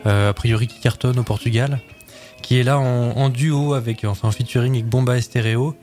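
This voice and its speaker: A man's voice: mid-range voice, steady tone, a little mellow, faint music behind. Chatty male radio co-host. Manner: quick, chatty, some fillers. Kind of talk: casual radio chat, reading a film synopsis.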